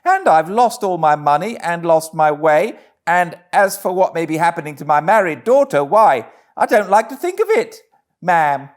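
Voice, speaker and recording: A man's voice: fairly high pitch, sing-song, normal speed, voice pushed up. A man voicing a boastful toad who poses as a plaintive old washerwoman in a storybook reading, with rustic grammar and a fussy, wheedling manner. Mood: plaintive, anxious.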